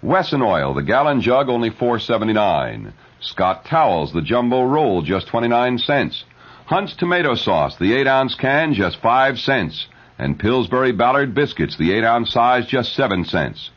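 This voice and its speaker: A man reading news and ads as a radio newscaster in a measured 1970s announcer style. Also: medium pitch, very animated, husky. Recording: dull, lo-fi 1970s radio broadcast recording.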